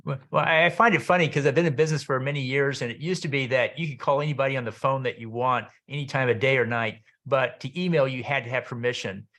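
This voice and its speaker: A man's voice: mid-range voice, steady tone, quick pace, few pauses, pushed and bright. A middle-aged or older man hosting an interview in a conversational way. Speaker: interview host.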